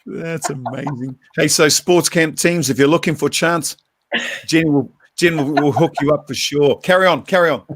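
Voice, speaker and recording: A relaxed man hosting a casual live stream, joking with his guests and pausing with fillers. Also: on the high side, no room sound. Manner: relaxed, hesitant with fillers, jokey. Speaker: host.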